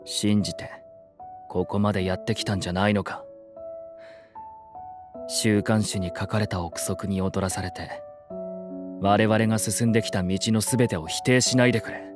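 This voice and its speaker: An actor playing a stern, haughty young male idol student with a formal, measured delivery in a Japanese audio drama. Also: on the low side, fairly even intonation, over music, close to an everyday voice. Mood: indignant.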